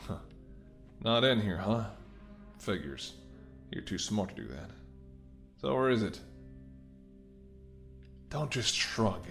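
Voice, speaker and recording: A man's voice: on the low side, lively intonation, takes it slowly, vocal fry, pauses often, fairly bright tone, faint music behind, full character voice. A man playing a menacing, smirking mercenary ex-bodyguard in an audio roleplay, with a taunting, sardonic way of talking. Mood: exasperated. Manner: taunting, sardonic, swearing, drops to whispers. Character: menacing, smirking male mercenary ex-bodyguard holding someone at gunpoint. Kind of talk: dramatic audio roleplay spoken to the listener.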